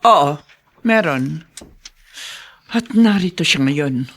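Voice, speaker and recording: A woman's voice: deep voice, lively intonation, slow, heavily acted. A woman playing an anguished, resolute mother in a Filipino radio drama, speaking earnestly and with emotion. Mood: anguished, confessing. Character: anguished, resolute mother, a mayor's wife confessing her past.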